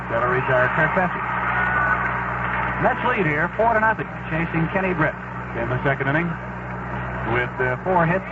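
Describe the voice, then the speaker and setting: A man working as a baseball play-by-play announcer on 1970s radio, mixing calls with scores and asides. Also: medium pitch. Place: stadium broadcast booth. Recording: very noisy, dull 1970s AM radio broadcast.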